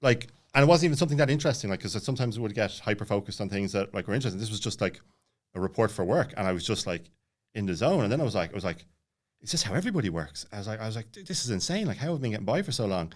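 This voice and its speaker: A man's voice: lowish voice, expressive, rapid-fire. A man in his late thirties telling a personal story casually, rambling and jumping around with lots of filler words. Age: late 30s. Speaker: podcast guest.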